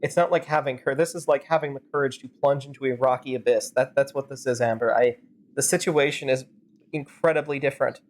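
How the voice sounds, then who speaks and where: A woman's voice: very deep voice, steady tone, quick pace, slight background noise. A woman streamer improvising rambling, sarcastic commentary and role-play while playing a game. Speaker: streamer fan-dubbing a game.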